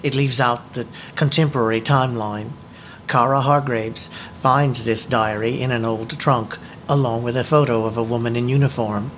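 A man co-hosting a book discussion, explaining things to the group in a measured, informal presenting manner. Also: mid-range voice, fairly even intonation, fairly bright tone, slight background noise.

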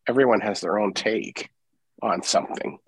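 A man giving his opinions in a casual group discussion, halting and thoughtful, often correcting himself as he goes. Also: on the low side, slightly rough, dry recording, rough recording. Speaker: book group participant.

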